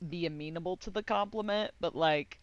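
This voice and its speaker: A woman giving casual live game commentary, excitable and giggly, swearing and meandering as she thinks aloud. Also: fairly low pitch, brisk, no room sound, dull audio. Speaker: game streamer.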